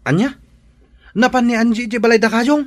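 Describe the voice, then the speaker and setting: A man's voice: extremely high pitch, fairly quick, slight background noise. A man with an Ilocano accent acting as a jealous, hot-headed young jilted son in a radio drama. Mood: angry disbelief. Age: young adult.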